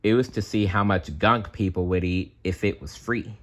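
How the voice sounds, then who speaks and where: A man reading a children's storybook aloud in an expressive, playful way, with chatty asides. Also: fairly low pitch. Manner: animated, unhurried, playful.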